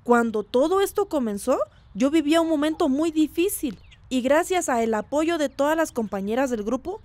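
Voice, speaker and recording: An actress playing an earnest village community activist being interviewed in a radio drama, measured and sincere. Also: female voice, sits high, lively intonation, darkish tone, dry recording.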